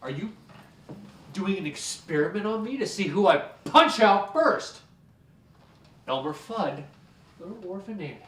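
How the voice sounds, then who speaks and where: Man acting short-tempered male patient, sarcastic, exasperated, lively intonation, echoey distant recording, comedic. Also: high voice, measured pace, pauses often, exaggerated voice. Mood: sarcastic, menacing. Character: hot-headed, sarcastic male anger-management patient. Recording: echoey room, distant mic, faint noise.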